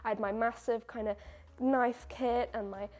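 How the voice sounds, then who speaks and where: A woman's voice: on the high side, fairly even intonation, normal speed, darkish tone. A young woman, a baker, answering viewer questions in a chatty, self-mocking way. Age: young adult. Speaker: baker and video creator. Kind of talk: casual question-and-answer chat.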